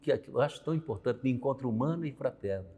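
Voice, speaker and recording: An older man from Brazil chats as a priest, unhurried and reflective. He leans on small tag questions as he tells memories, and his voice rings through a long reverberant hall. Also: medium pitch, expressive, warm tone, husky. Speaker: priest and show host. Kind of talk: conversational religious talk.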